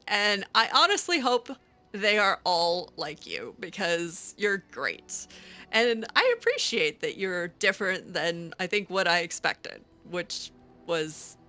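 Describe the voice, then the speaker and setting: A woman's voice: medium pitch, pushed and bright. A woman roleplaying her character aloud in a game, talking back to the characters in a hesitant, giggly way, full of ums and restarts.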